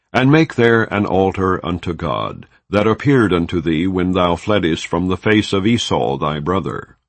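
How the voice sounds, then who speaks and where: A very deep male voice reads scripture in a slow, solemn, measured way. His pitch swings widely, rising high and dropping low from phrase to phrase. The sound is dry and close, with no room around it. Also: dry recording. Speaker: scripture reader.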